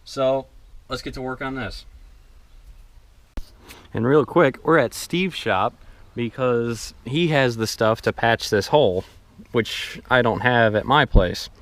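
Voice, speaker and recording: A man's voice: mid-range voice, slow pace, long pauses, a bit bright. A man casually narrating a hands-on vehicle project, thinking aloud as he works in an informal way. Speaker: DIY vehicle restoration vlogger.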